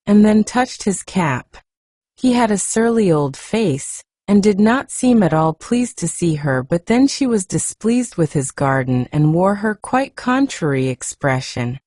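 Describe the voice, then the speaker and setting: Female audiobook reader: soft, hushed and gentle, slightly low, mellow tone, lively storytelling intonation. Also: dry recording, clean studio sound. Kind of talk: softly read storybook narration.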